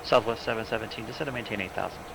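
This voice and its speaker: A man's voice: on the low side, rapid-fire, gravelly. A man working as an air traffic controller, giving clipped instructions in radio phraseology over a radio link. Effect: radio transmission. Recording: noisy radio channel.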